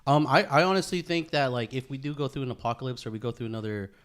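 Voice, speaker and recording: A man's voice: medium pitch, expressive, fast talker, clear, resonant tone. A man co-hosting a podcast in casual, profane conversation, full of slang like "bro" and "dude.". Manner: slangy, profane, says 'bro' and 'dude' often. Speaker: podcast co-host. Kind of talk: casual group chat.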